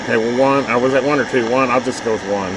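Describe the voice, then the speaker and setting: A man's voice: medium pitch, fairly even intonation, fast. A man casually talking through a hands-on demo as host, offhand and muttering to himself as he counts. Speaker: video host reviewing a radio. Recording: loud static and hum behind voice. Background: shortwave radio noise.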